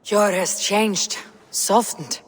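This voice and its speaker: An actress playing a confident, stoic female Viking warrior with a measured, direct delivery in a video game. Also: medium pitch, breathy edge. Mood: calm. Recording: long reverb.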